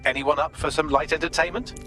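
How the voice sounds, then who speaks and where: An actor voicing a witty, smug artificial intelligence assistant in a video game, wry and flippant. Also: male voice, fairly high pitch, fairly even intonation, fast talker, music in the background, close to an everyday voice.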